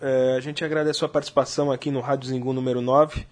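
A man's voice: mid-range voice, flat delivery, quick pace. A man with a Brazilian accent hosting a radio interview, asking hesitant questions with frequent murmured agreement. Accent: Brazilian Portuguese. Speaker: radio show host.

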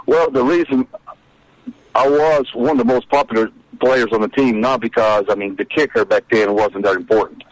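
Brazilian-accented older man, halting speech. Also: lowish voice, expressive, no room sound, low-quality recording. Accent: Brazilian Portuguese. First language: Portuguese. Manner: halting, many repeats and restarts. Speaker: guest, former college kicker. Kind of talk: casual interview reminiscing.

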